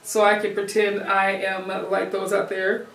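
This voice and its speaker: Gravelly woman asking encouraging questions. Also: mid-range voice, monotone, normal speed, a bit bright, recorded from a distance, faint music behind. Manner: asks clarifying questions, encouraging. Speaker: co-host. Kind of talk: casual conversation during a lesson. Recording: echoey room, voice away from the mic.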